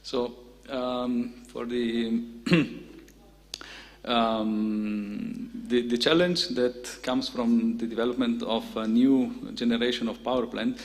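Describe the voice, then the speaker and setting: A man presenting a conference talk, speaking haltingly with many fillers and restarts. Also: mid-range voice, steady tone, in a large hall.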